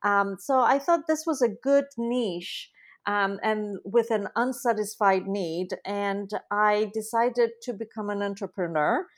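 A middle-aged woman answering interview questions in a relaxed conversational way, pausing often with fillers and restarting her sentences. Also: medium pitch. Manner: hesitant, many fillers and restarts. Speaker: guest, translation company founder.

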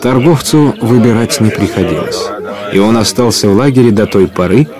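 A man's voice: lowish voice, moderate pace, a crowd in the background. A man narrating an adventure audio drama in a scripted storytelling style.

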